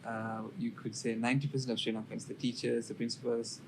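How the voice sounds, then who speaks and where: A man in his twenties who grew up in Saudi Arabia talks as a podcast guest in a measured, unhurried way with plenty of fillers. His pitch stays flat and level. A clear background hiss sits under the recording. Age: 20s. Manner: even, measured, with many fillers. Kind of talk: casual interview storytelling.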